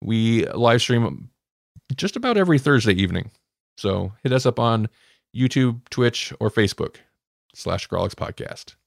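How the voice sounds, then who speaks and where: A man's voice: medium pitch, big pitch swings, normal speed, vocal fry, no room sound, studio quality. A man hosting a podcast, chatting casually as he reviews stories. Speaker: podcast host.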